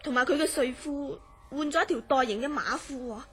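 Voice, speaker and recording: High-voiced earnest woman, acted telling eerie tales. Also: fairly quick, close to an everyday voice. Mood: grave recounting. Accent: Hong Kong Cantonese. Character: earnest woman recounting a friend's eerie dreams. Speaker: radio drama actor. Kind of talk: radio drama dialogue, recounting a strange story.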